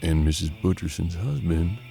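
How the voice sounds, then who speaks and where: A man playing a seasoned, pragmatic bounty hunter in a western audio drama, with measured, folksy frontier talk. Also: low-pitched, vocal fry, dark tone, soft background music. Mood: intent, businesslike. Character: seasoned male bounty hunter detective of 1870s Kansas, steady, pragmatic.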